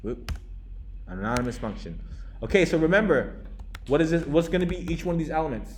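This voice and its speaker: A man's voice: on the high side, lively intonation, fairly quick, slight background noise. A young man teaching a live classroom coding lesson, talking casually with lots of restarts and checks like "okay?" and "right?". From United States. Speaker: coding instructor.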